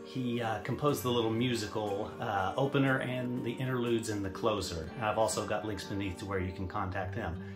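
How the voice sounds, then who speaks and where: A man's voice: fairly low pitch, steady tone, brisk, hardly any pauses. Man in an echoey room, over guitar. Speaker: author and channel host. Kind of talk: channel outro addressed to listeners. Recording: roomy echo, music behind. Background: guitar music.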